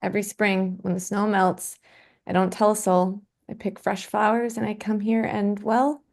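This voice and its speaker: A woman's voice: mid-range voice, little pitch movement, moderate pace, creaky, lightly acted. A woman playing a grieving but resilient mother, earnest and tender, in a dramatic table read. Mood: wistful. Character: grieving mother, tender and resilient toward her estranged husband.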